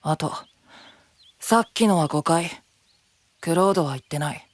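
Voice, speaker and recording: A man playing a guarded, sharp-tongued young knight in an anime-style voice drama, speaking bluntly. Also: a little high, unhurried, dry recording, close to an everyday voice. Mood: cool, guarded. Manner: blunt, accusing. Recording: music under the voice.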